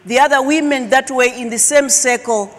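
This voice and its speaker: A middle-aged woman from Zimbabwe, a Shona speaker, telling her personal story as a keynote speaker with emphatic pauses. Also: high-pitched. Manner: slow, emphatic, dramatic pauses. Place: large auditorium stage. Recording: long hall reverb.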